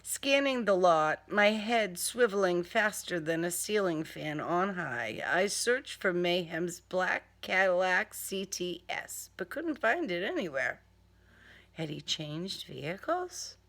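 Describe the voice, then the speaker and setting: A woman narrates a crime novel in the first person with theatrical flair. Her delivery is slow and deliberate, her pitch swinging widely, with a sardonic edge to the phrasing. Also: lively intonation, fairly bright tone. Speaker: author reading own crime novel.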